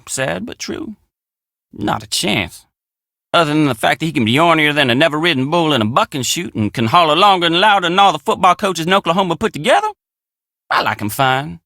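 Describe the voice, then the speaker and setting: A man's voice: mid-range voice, creaky, pushed and bright, no room sound, studio quality, exaggerated voice. An actor playing a friendly, plainspoken young country handyman with an American drawl in a video game. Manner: folksy, plainspoken country phrasing. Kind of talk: video game character dialogue.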